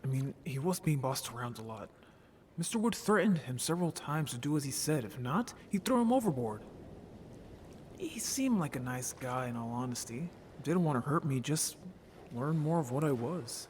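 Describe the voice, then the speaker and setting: Man playing lively, youthful, naive merfolk. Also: mid-range voice, normal speed, slight background noise, close to an everyday voice. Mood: earnest. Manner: casual, hesitant, expressive. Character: naive, youthful, curious male merfolk, earnest and cheeky. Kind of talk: scripted audio drama roleplay.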